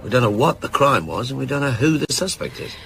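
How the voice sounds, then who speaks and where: A man's voice: medium pitch, expressive, fast, creaky, a bit bright, slight background noise. An actor playing a plain-spoken male detective sergeant, a sidekick with casual dry humour, in a naturalistic radio drama.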